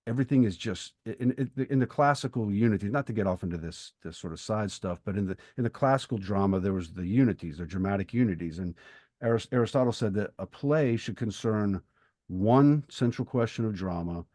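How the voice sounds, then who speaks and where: A middle-aged man co-hosting a podcast, talking reflectively about literature in a thoughtful, halting way, rephrasing as he goes. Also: fairly low pitch, a little mellow, no echo, clean studio sound. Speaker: podcast co-host and writer.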